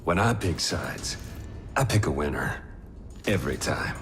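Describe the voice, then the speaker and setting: Texan gunslinger, very deep voice, slow drawl, menacing, game cutscene. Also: male voice, lively intonation, background noise, exaggerated voice. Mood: cold. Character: cold Texan gunslinger mercenary man. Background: game soundtrack music.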